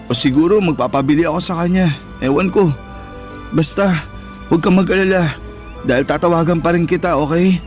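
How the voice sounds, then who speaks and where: Male actor as high-pitched Filipino teen brother, protective and easily wounded, heartfelt radio drama over soft music. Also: brisk, long pauses, close to an everyday voice. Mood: tender, reassuring. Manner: earnest, emotional delivery. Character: hurt, affectionate teenage boy, protective older brother. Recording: music behind the voice.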